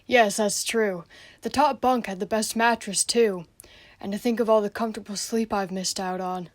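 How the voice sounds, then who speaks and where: A woman's voice: medium pitch, steady tone, normal speed, no echo, natural voice. A young female student playing an aggrieved, jealous, overlooked son in a radio play. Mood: earnest, wistful. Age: teenager or young adult student.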